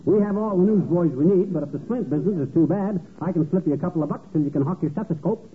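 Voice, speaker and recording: An actor playing a brash, boastful, wisecracking husband in a folksy, slangy, blustering manner, in a 1940s radio comedy. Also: male voice, high voice, quick pace, natural voice. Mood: brash, breezy. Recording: tinny, noisy old radio broadcast.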